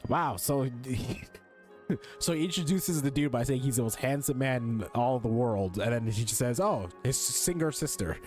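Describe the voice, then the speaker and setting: A man's voice: mid-range voice, normal speed, a little mellow, soft background music. A man giving casual, slangy, incredulous commentary as he streams a game, swearing freely. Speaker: streamer reacting to a game story.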